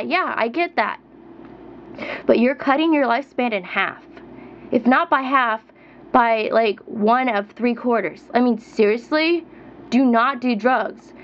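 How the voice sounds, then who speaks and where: A woman in her twenties ranting to camera in her own vlog, emphatic and repetitive, her pitch jumping up as she stresses her points. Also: lo-fi sound. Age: 20s. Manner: emphatic, repeats herself, rising pitch. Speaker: vlogger. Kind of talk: heated rant to camera.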